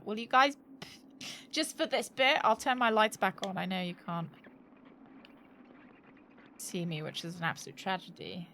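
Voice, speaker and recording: Girl streamer, playful and sweary, bright sharp voice, unhurried, bit of noise behind. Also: medium pitch. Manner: excitable, playful, sweary, reacting aloud. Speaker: game streamer. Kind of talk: casual live stream commentary. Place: indoor room, live gaming stream.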